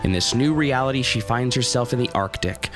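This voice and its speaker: A man hosting a radio theater podcast, narrating from a script in a measured storyteller's delivery. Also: medium pitch, over music. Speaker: podcast host. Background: musical score.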